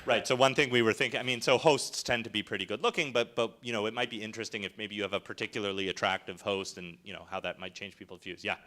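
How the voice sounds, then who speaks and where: Man, bright tone, panel researcher, hesitant, lots of um and uh. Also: lowish voice, fairly even intonation, fast talker, hardly any pauses. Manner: hesitant, thinks aloud with many fillers and restarts. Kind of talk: answering audience questions at a panel.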